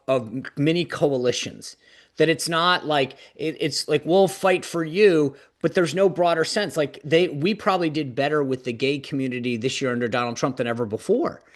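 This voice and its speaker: Earnest man restarting his sentences. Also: a little high. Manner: earnest, restarts phrases often. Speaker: political commentator. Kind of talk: panel discussion.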